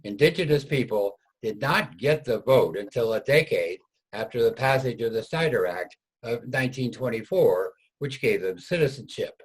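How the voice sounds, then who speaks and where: A man's voice: medium pitch, expressive, moderate pace, slightly rough, rough recording. A man reading scripted narration as the narrator of a reader's theater production.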